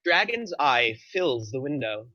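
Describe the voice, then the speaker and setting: A man's voice: mid-range voice, big pitch swings, measured pace, bright, forceful tone, no room sound, dull audio. A man narrating, reading screenplay action lines aloud at a table read.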